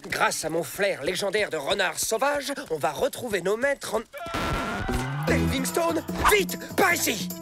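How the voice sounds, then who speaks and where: A man's voice: sits high, measured pace. A man voicing a scheming, boastful male fox, the ringleader in a children's cartoon. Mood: cocky. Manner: boastful, animated. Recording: music behind.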